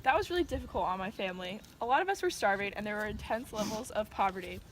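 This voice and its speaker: A woman's voice: medium pitch, lively intonation, fast, heavy background noise, natural voice. A teenage girl playing a cheerful, rambling Irish immigrant woman in an amateur newscast skit. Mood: earnest, upbeat. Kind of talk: amateur school skit interview.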